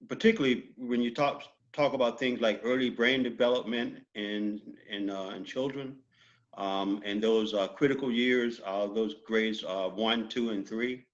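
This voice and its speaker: A man hosting an interview who asks long, halting questions full of restarts. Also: medium pitch, muffled recording. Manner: halting, with many restarts and fillers. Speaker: interview host.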